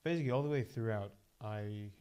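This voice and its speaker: Low mellow-voiced man from Leeds. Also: smooth. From Leeds, England. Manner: reflective, measured. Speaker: guest founder. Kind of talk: casual podcast conversation.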